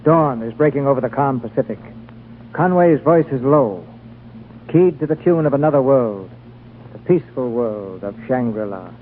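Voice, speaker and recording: A man's voice: mid-range voice, expressive, moderate pace. A man narrating as the announcer of a 1940s radio drama, in a dramatic scripted style. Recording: tinny, noisy 1940s radio recording.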